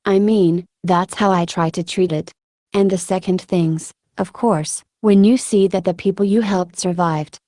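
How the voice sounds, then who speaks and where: A woman giving reflective interview answers in a halting, thoughtful way with frequent filler words. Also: fairly low pitch, fairly even intonation, warm tone, dry recording, clean studio sound. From Ukraine. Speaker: military combat medic being interviewed.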